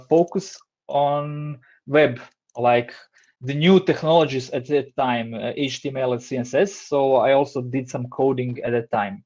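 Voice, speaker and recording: Croatian man, 30s, relaxed speaker giving a talk over a dull video call. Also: medium pitch. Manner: relaxed, hesitant with many fillers. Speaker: design lead giving a talk. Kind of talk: online presentation. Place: home room, indoors. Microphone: headset on a video call.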